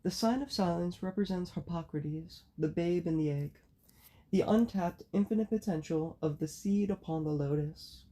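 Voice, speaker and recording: Woman, hoarse from a cold, raspy, very dark mellow tone, steady explanatory lecture. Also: fairly low pitch. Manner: measured, explanatory delivery. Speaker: occult channel host. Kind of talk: spoken lecture.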